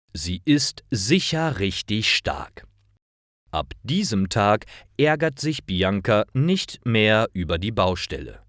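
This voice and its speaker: Male narrator, very slow pace, lively intonation, careful enunciation, measured storytelling for learners. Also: fairly low pitch, no echo, studio quality. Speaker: narrator of stories for German learners.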